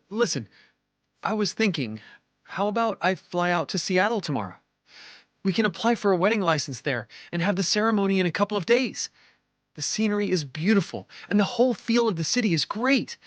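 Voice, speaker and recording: A man playing a scheming, smooth-talking cheating fiancé in an audio drama, coaxing and insistent. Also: sits high, expressive, normal speed, dry recording. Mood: falsely cheerful, nervous.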